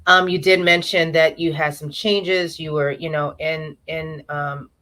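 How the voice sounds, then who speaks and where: A woman's voice: low-pitched, level tone, a little slow, a bit bright. A woman from New York hosting a casual online interview, chatty and affirming, with many fillers, over a video call. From New York, USA. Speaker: interview host.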